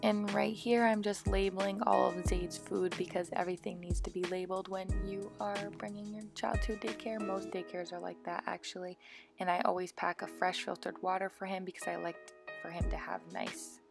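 A woman's voice: mid-range voice, flat delivery, normal speed, vocal fry. A young American woman vlogger narrating her daily routine in a casual, chatty voice-over. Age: young adult. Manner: chatty, informal. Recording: voice-over with background music. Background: music.

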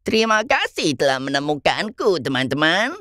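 A man's voice: fairly high pitch, very animated, normal speed, pushed and bright, no room sound, studio quality. A man voicing a playful, chuckling old grandpa shark in a children's cartoon. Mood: cheerful.

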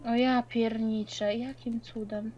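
A woman's voice: on the high side, little pitch movement, slow, dull audio. A woman talks through a multiplayer game with friends. Her chat is casual and slangy, with English words and swearing mixed in.

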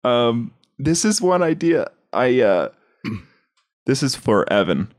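A man chatting casually as a podcast host, rambling through comedy sketch pitches and acting out bits as he explains. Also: big pitch swings, takes it slowly. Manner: rambling, acts out lines as he explains.

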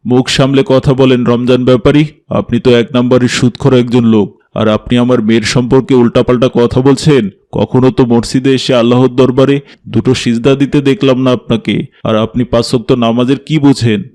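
Even-toned, devout middle-aged father played by a man. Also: mid-range voice, brisk, natural voice. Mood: indignant. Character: strict, devout, proud middle-aged father, mosque president. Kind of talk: cartoon drama dialogue.

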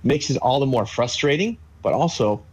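A husky-voiced man in his thirties gives legal commentary over a video call. He explains things in a measured, steady way, with a few fillers along the way. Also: medium pitch. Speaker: legal analyst. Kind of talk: live news interview commentary. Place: home office with bookshelves.

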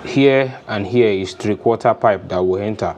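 A man's voice: fairly low pitch, normal speed, darkish tone, a little hiss or hum. A young man with a Nigerian accent, giving an informal, unscripted hands-on demonstration step by step. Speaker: DIY farming instructor. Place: open-sided poultry house with concrete floor. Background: music.

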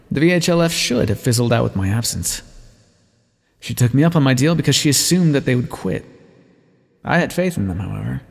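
Male actor as a bitter vampire, husky, wry, measured confession, ASMR roleplay, long reverb. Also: medium pitch. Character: bitter, wry male vampire, a former rebel leader recounting his past. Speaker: audio roleplay performer.

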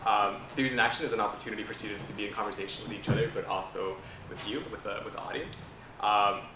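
A young man gives opening announcements in a university seminar room. He speaks quickly with a lowish voice, and the recording picks him up from a distance with room echo and clear background noise. His delivery is slightly halting, with repeated words. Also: steady tone. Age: young adult. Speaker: event host introducing the panel. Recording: echoey room heard from afar, background noise.

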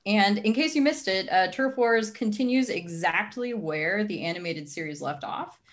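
A woman's voice: medium pitch, lively intonation, moderate pace. A young adult woman casually introducing a livestream as its host, speaking on a video call from home.

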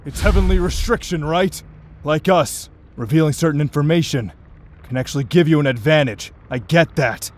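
A man's voice: fairly high pitch, normal speed, natural voice. A young man voicing an earnest, intense sorcerer in a manga dub, serious and dramatic. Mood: angry, demanding. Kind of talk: amateur anime manga dub acting.

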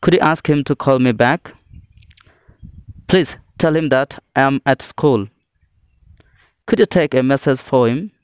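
A man teaching a scripted English lesson, reading out phrases in a deliberate, phrase-by-phrase way. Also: medium pitch, smooth, no room sound, clean studio sound.

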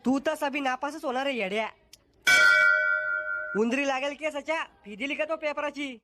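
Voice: high-pitched, normal speed, heavy background noise, lightly acted. Voice actor as a strict village schoolmaster. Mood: scolding. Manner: scolding, blunt, rural speech. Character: strict rural male schoolteacher, scolding but sentimental. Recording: loud music under the voice.

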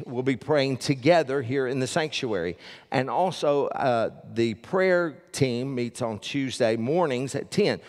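Folksy older pastor in a big hall. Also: male voice, mid-range voice, a little mellow. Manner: folksy, joking with the congregation. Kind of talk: sermon with announcements. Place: large church stage with audience. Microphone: headset mic. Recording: long reverb of a large hall.

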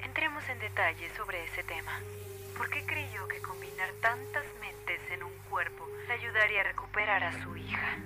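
A woman playing a cold, commanding, threatening interrogation officer in a Latin American animated fan dub. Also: medium pitch, moderate pace, close to an everyday voice, rough recording. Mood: cold, controlled. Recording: loud music behind the voice.

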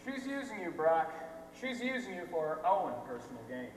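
Young man acting a brash reporter, wildly animated. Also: high-pitched, normal speed. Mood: indignant. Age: young adult. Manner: theatrical, slangy, emphatic delivery. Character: brash, fast-talking male reporter exposing a scandal. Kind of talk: amateur stage play acting. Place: school theatre stage. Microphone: distant microphone. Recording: echoey theatre hall from far off, noisy.

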